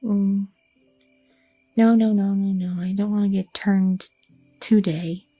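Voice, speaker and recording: A young woman playing a loving, chatty goth girlfriend in an ASMR roleplay, talking softly and intimately with lots of fillers, close to the mic. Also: mid-range voice, fairly even intonation, takes it slowly, husky, no room sound, soft background music. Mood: tender, candid. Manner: soft, intimate, rambling with many fillers.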